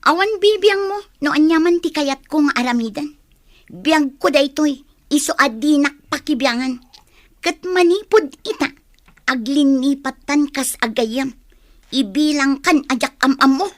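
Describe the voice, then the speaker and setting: An actress playing a hot-tempered, resentful young woman in a radio drama, talking in a sharp, confrontational way. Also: sits high, unhurried, no room sound. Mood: angry, accusing. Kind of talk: Ilocano radio drama acting.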